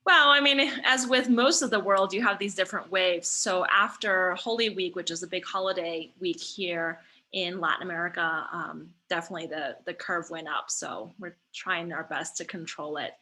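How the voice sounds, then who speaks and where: A woman's voice: on the low side, normal speed, bright, forceful tone. A woman in her thirties, born in China, answering interview questions thoughtfully over a remote call, with frequent fillers and restarts. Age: 30s. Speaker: guest, startup founder.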